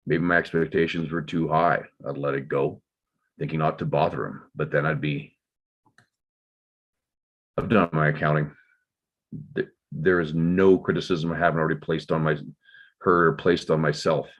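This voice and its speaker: A man playing a burdened, defensive father in a dramatic table read, explaining himself in halting, measured phrases. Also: very deep voice, lo-fi sound.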